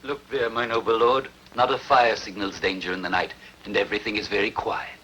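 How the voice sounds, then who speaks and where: A man's voice: on the low side, level tone, fairly quick, bright, forceful tone, a little hiss or hum, poor audio. A man acting a confident royal counsellor in a dubbed historical epic, speaking formally and declaiming to his lord. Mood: deferential.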